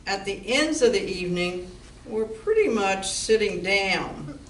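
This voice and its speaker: A woman's voice: mid-range voice, expressive, a little slow, close to an everyday voice. A woman playing a wry, practical bar owner in an amateur comedy play, speaking in a dry, businesslike way. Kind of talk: amateur stage comedy radio play acting. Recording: echoey room from far off, background noise.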